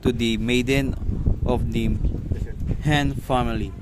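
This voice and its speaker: A man playing a stern, commanding magistrate in an amateur radio drama, questioning witnesses in a formal, deliberate way. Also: medium pitch, steady tone, background noise, close to an everyday voice.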